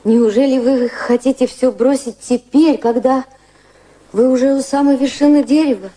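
An actress playing a candid, proud younger society woman with a romantic streak in a radio play, speaking in a formal, frank, measured way. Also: high-pitched, mellow, poor audio. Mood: puzzled.

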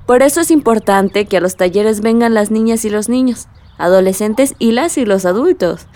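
A woman's voice: medium pitch, normal speed, mellow, close to an everyday voice. A woman playing a cheerful, enthusiastic village organizer with a chatty, exclamatory manner in a radio drama. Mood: earnest.

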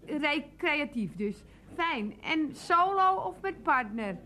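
High-pitched, gushing, intrusive shop assistant. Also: female voice, very animated, slow pace, bright, forceful tone, full character voice. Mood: gushing, eager. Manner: gushing, formally polite, over-explaining. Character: overeager, intrusive, chatty department-store saleswoman. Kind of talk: Dutch comic radio play acting.

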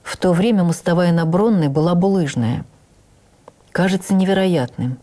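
A woman playing an older actress who recalls her life in a heartfelt, measured radio memoir reading with deliberate pauses. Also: fairly low pitch, mellow, lightly acted. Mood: reflective. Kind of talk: dramatic first-person memoir reading.

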